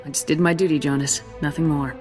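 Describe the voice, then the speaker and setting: An actress playing a dutiful young army lieutenant in a video game, firm, earnest and measured. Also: female voice, low-pitched, steady tone, roomy sound, close to an everyday voice. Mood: composed. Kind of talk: scripted video game acting. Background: music.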